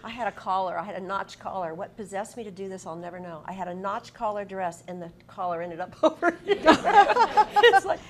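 A woman's voice: mid-range voice, animated, a little fast, hardly any pauses. A middle-aged woman with an American accent, interviewing conversationally in a TV studio with effusive, encouraging reactions. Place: TV studio, indoors at a desk. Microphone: lapel mic.

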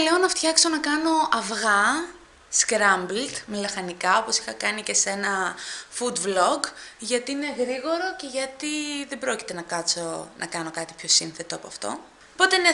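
A woman's voice: on the high side, vocal fry. Chatty young woman vlogging at home. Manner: chatty and excitable, quick asides to viewers. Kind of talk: casual vlog chat to camera. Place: family home indoors. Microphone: handheld camera at arm's length.

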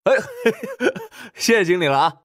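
A man's voice: high voice, huge pitch swings, fairly bright tone, heavily acted. A man playing a glib, chummy young casino worker in an audiobook drama, ingratiating and chatty. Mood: flattering, jovial. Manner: ingratiating, chatty, drawn-out particles. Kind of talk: Mandarin audiobook drama acting.

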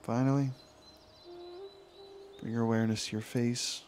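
A man leading a guided meditation in an informal, soothing way, with unhurried phrases and long pauses. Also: mid-range voice, little pitch movement, darkish tone, a little hiss or hum. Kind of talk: guided meditation, yoga nidra body scan.

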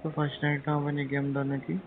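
Over a tinny phone line with faint noise comes a teasing young husband-to-be, acted by a man. His voice sits a little high, and he talks casually and hesitantly, like someone careful with money in a call drama. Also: monotone, fast talker, natural voice. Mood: coaxing.

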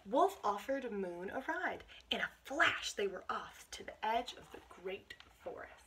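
An American woman in her twenties reads a picture book aloud to children with asides, in an expressive, encouraging manner. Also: mid-range voice, a little slow, low-quality recording. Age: 20s. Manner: expressive, encouraging, addressing young listeners. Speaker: teacher reading aloud to children. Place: small bedroom indoors. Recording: clear background noise, home camera microphone.

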